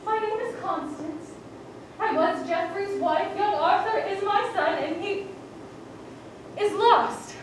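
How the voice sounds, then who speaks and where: A woman's voice: very high voice, takes it slowly, long pauses. A woman playing a frantic grieving queen. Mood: anguished. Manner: declaiming, voice swelling to cries. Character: grieving widowed queen mother, frantic with loss. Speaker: stage actor. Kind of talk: live Shakespearean stage acting. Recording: distant stage recording in an echoey hall, with background noise.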